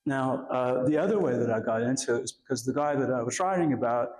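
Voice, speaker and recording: A man with a raspy voice from a cough, giving an academic lecture in a halting way with many pauses and fillers. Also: medium pitch, lively intonation, darkish tone, poor audio. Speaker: guest lecturer.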